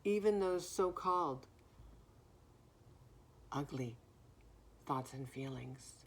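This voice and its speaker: A woman giving a spiritual message in a gentle, soothing way, with a naturally deep voice that is somewhat hoarse. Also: expressive, slow pace, slight background noise. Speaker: spiritual card reader. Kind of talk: spiritual message, slow and reflective.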